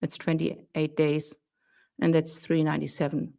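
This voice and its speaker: A woman with a German accent and a fairly low voice chats casually on a video call. She reads items slowly and hesitantly, filling the gaps with ums. Her first language is German. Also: completely flat delivery, vocal fry, warm tone. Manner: hesitant, many ums while reading items. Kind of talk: casual live-stream chat.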